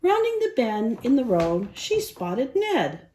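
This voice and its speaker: A woman reading a children's story aloud as a storyteller, in an expressive narrating style. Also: on the high side, slow. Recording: echoey, roomy sound.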